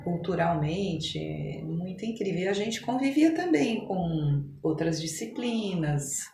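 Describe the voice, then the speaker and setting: A middle-aged Brazilian woman with a slightly low voice tells her memories in casual interview conversation. The room adds noticeable echo, and there is faint background noise. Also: big pitch swings, measured pace, warm tone. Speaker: podcast guest, physician. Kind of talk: casual interview chat, reminiscing.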